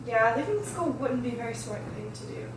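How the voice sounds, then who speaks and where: A kindly, casual mother , read by a woman at an amateur table read. The voice is a bit high with lively intonation. It is heard from afar in a very echoey room. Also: a little fast, lightly acted. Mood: warm, casual. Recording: echoey room, distant mic, faint noise.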